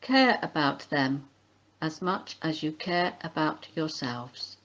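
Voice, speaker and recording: A woman reading a Bible passage aloud in measured phrases, on a dull lo-fi recording. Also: low-pitched, lively intonation, fairly bright tone. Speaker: Bible reader.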